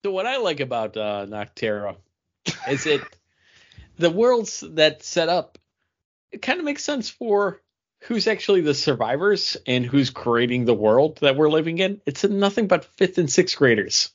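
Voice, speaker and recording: A man's voice: on the high side, very animated, unhurried. A man co-hosting a casual comic book review, talking emphatically in slangy, repetitive phrases. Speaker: co-host of a comics review show. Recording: dull lo-fi audio.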